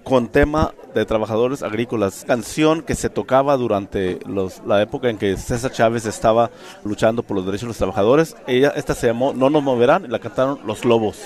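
A man hosting a live radio interview on location at a church event, speaking in a measured way and explaining the context for listeners. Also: mid-range voice, darkish tone. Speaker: radio host.

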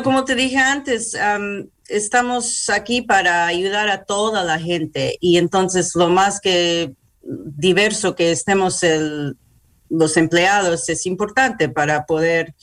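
Slow, halting bilingual woman in an interview. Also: on the low side, fairly bright tone. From New York, United States. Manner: halting, searching for words in Spanish, slips into English words. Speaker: hospital public relations manager, interview guest. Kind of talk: interview answers.

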